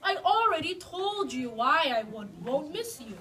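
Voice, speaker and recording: A woman's voice: high voice, sing-song, unhurried, pushed and bright, heavily acted. Woman playing a sleepy puppet hedgehog. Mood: patient. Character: sleepy, forgetful-minded male hedgehog friend. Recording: distant mic.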